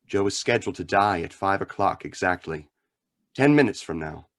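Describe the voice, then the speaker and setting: A man playing a grave, philosophical crime fighter with a measured, earnest manner in a radio drama. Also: sits low, lively intonation, a bit bright. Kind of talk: old-time radio drama acting.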